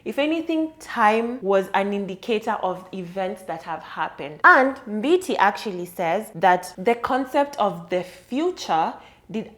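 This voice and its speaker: A woman talks alone on her podcast, working through an idea in an explanatory, conversational manner. Her voice sits at a middling pitch for a woman, and her tone is thoughtful and easygoing. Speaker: podcast host. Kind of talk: solo reflective podcast monologue.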